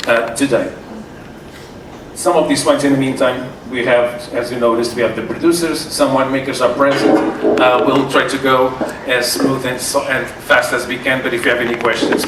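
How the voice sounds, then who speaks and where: Brief: Portuguese-accented man presenting a seminar, halting delivery full of restarts, heard from a distance in a roomy hall with background noise. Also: mid-range voice. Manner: halting, many fillers and restarts. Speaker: sommelier giving a wine seminar. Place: event hall with tasting tables. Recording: echoey room, far from mic, noisy.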